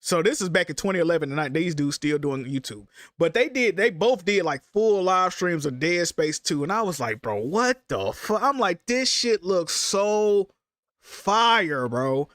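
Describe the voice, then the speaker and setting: Congested streamer man, high voice. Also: sing-song, measured pace, fairly bright tone, no room sound, clean studio sound. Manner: loose slangy banter, swears often. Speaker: streamer host. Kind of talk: casual livestream chat.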